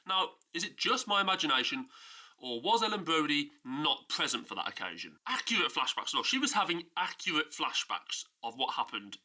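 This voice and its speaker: A man's voice: on the high side, big pitch swings, normal speed, bright, forceful tone. Man ranting about a film with a cold. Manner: exasperated, emphatic, mocking. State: stuffy nose from a cold. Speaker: film review YouTuber. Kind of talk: casual film rant.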